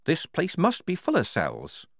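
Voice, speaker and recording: A man voicing a level-headed, shrewd young woman soldier who talks calmly and reasons things out. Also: fairly high pitch, measured pace, vocal fry, no echo, studio quality, pitched above the performer's own voice, heavily acted. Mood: thoughtful. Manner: calm and reasoning.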